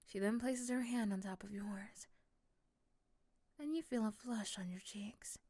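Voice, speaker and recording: Woman narrator, medium pitch, soft delivery slipping into whispers, scripted ASMR romance, close dry recording. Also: a little slow, husky, no room sound. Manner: soft, often dropping to a whisper.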